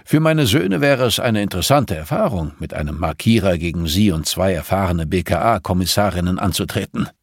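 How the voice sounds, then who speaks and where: Man playing a husky, overbearing rich patriarch. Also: deep voice, lively intonation, moderate pace, few pauses, dry recording, clean studio sound. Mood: eager, patronizing. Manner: commanding, expansive. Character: muscular, jovial, patronizing rich father, eager host.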